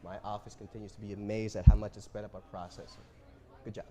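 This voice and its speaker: An actor in a staged reading playing a smug, entitled factory commander who is condescending and menacing. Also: male voice, on the low side, flat delivery, fast talker, crowd noise, close to an everyday voice. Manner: smug, condescending, easily riled.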